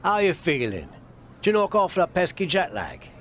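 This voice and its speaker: An actor playing a wry British veteran wrestler and mentor, coaxing and teasing with dry humour in a video game cutscene. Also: male voice, high-pitched, wildly swooping pitch, moderate pace, a bit bright, slight background noise, exaggerated voice. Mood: teasing, brisk. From United Kingdom.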